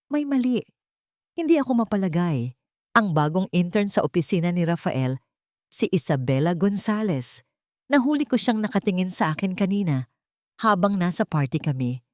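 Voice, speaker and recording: Woman acting as troubled wife, audio drama diary entry. Confiding, urgent, lowish voice with lively intonation. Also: normal speed, pauses often, dry recording, clean studio sound. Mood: uneasy, suspicious. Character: uneasy, suspicious wife writing in her diary.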